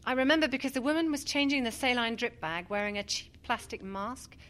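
A woman's voice: fairly high pitch, brisk, fairly bright tone, close to an everyday voice. A woman playing a nervous, skeptical study volunteer in a radio drama, clipped, impatient and sardonic. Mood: matter-of-fact.

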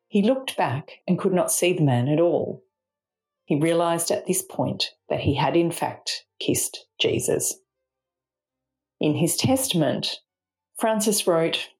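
Low female voice, Australian accent, scripted spiritual reflection, measured delivery, faint music behind. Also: darkish tone. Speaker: reflection speaker, charity mission partner.

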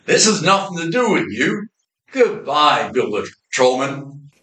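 A man acts out a world-weary supernatural detective from an old-time radio serial. His voice sits on the high side and carries dry wisecracks. The recording is amateur and noticeably roomy. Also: big pitch swings, a little slow, bright, forceful tone, slight background noise, heavily acted. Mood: weary, wry.

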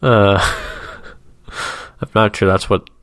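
A fairly low-voiced man with a stuffy nose chats on a podcast, wry and rambling, easily amused by his own asides. The sound is dry and close, with no room around it. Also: fairly even intonation, drawn-out words, fairly bright tone, smooth, dry recording. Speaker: podcast co-host. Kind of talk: casual chat, describing a comic.

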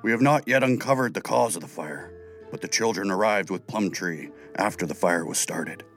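An actor playing a big, loyal groundskeeper creature who reports in a solemn, respectful, formal way in a children's audio drama. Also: male voice, deep voice, moderate pace, fairly bright tone, faint music behind.

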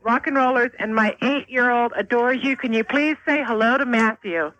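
A middle-aged woman calling a radio show, gushing excitedly like a devoted fan. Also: fairly high pitch, moderate pace, no echo, lo-fi sound. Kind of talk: casual phone call.

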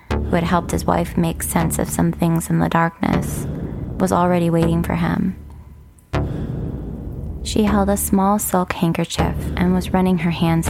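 Woman, scripted audio fiction delivery, creaky gravelly voice, music underneath. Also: on the low side, rough recording. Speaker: audio fiction creator, writer and narrator. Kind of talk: scripted narration and show promo.

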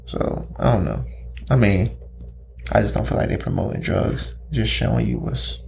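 A man co-hosting a casual chat, talking in an animated, ranting, slang-heavy way. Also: fairly low pitch, moderate pace, creaky, a little hiss or hum. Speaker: podcast co-host.

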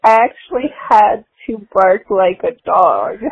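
A woman's voice: medium pitch, expressive, measured pace, creaky, breathy edge, highs cut off. A woman answering interview questions casually, with shy little laughs. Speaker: guest.

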